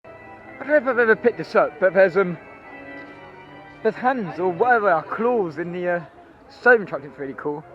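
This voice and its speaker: Hoarse, high-voiced young man. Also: normal speed, long pauses, muffled recording, soft background music. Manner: chatty, rambling, excitable, many ums. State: hoarse, losing his voice. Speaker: theme park vlogger. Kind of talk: walking vlog commentary. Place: outdoors in a theme park.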